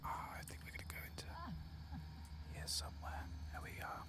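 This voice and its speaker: A man narrating a game playthrough in hushed, breathy ASMR style, murmuring in an unhurried way. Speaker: ASMR gaming host. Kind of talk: soft-spoken whispered ASMR game playthrough.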